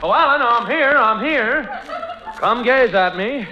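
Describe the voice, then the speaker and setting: An old, muffled radio comedy recording of a pompous rich snob. His voice is high, affected and haughty, with a preening theatrical delivery. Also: animated, unhurried, crowd noise. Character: pompous, snobbish, filthy rich young male rival, preening. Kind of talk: 1940s radio comedy acting.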